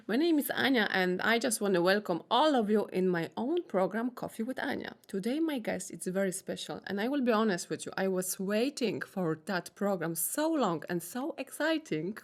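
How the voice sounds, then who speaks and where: A woman's voice: mid-range voice, lively intonation, moderate pace, hardly any pauses. Eager woman hosting, non-native English. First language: Polish. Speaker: host. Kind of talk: casual interview chat.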